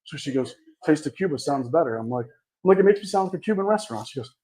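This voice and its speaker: A man's voice: on the high side, expressive, quick pace, a little mellow. A man guest at a cigar shop podcast, telling his story in an easygoing, chuckling way. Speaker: guest, cigar brand owner. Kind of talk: casual interview storytelling.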